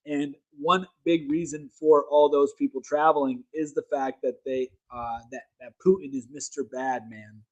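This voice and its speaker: A man's voice: fairly high pitch, rough recording. A man hosting a casual opinion livestream, talking emphatically and rambling, correcting himself mid-sentence. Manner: reads articles aloud to viewers. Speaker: political livestream host.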